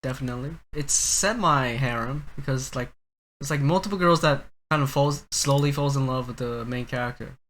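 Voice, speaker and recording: A man with a medium-pitched voice chats informally as a podcast co-host. His delivery is conversational and unscripted, as if among friends. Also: moderate pace, fairly bright tone, a little hiss or hum. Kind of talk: casual chat about anime.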